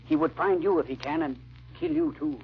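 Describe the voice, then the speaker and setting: An actor playing a grave, determined baron bent on vengeance, speaking in a measured, formal, earnest old-time radio style. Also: male voice, a little high, lightly acted. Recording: dull, band-limited old radio recording.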